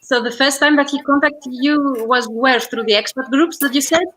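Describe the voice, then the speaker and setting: A woman's voice: high voice, fairly even intonation, moderate pace, a bit bright, rough recording. A woman business coach hosting a live online interview, talking conversationally with frequent fillers over a video call.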